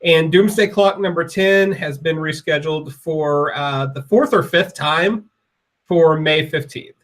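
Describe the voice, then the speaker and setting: A man co-hosting a podcast and running through the news. He is upbeat and conversational and quick to get excited. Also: fairly high pitch. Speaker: podcast co-host reading the news. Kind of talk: casual podcast news rundown.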